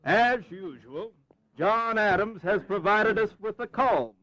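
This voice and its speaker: An actor playing a dryly reasoning colonial delegate, giving measured formal oratory in a historical audio drama. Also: male voice, high-pitched, animated, bright, forceful tone, full character voice. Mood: reasoned, firm. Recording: dull, close 1980s drama recording.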